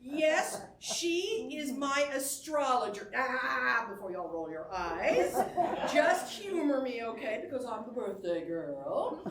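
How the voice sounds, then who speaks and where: A woman's voice: high voice, big pitch swings, takes it slowly, fairly bright tone, exaggerated voice. A woman playing an exuberant, effusive female movie star giving a folksy thank-you speech in a theatrical staged reading. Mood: gushing, playful. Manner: effusive, folksy, chuckling between phrases. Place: indoor stage before a live audience. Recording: roomy stage sound with faint noise.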